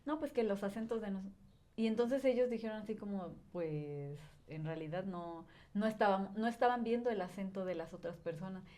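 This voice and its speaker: A woman's voice: medium pitch, normal speed, warm tone. A woman, a language educator and podcast guest, explaining at length in casual conversation with frequent filler words.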